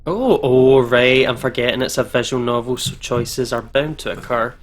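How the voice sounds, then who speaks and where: A man with a mid-pitched voice hosts a game playthrough. He reacts and comments in casual, conversational chat. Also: moderate pace. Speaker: gaming channel host.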